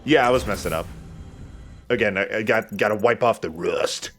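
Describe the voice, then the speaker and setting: A man's voice: fairly low pitch, moderate pace, faint music behind. A man giving casual let's play commentary in a playful, self-deprecating way, swearing casually. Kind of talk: casual gameplay commentary with banter.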